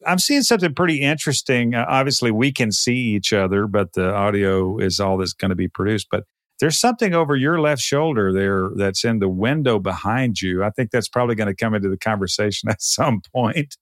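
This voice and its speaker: A man from Texas with an American accent, speaking conversationally in short, deliberate phrases as a podcast host. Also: on the low side, animated, no room sound, clean studio sound. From Texas, United States. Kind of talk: podcast introduction and casual interview.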